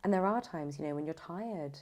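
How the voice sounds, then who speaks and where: A woman's voice: low-pitched, fairly quick, a little mellow. A woman speaking casually as an interview guest, emphatic and often rephrasing as she goes. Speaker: voice actor, audiobook narrator, podcast guest.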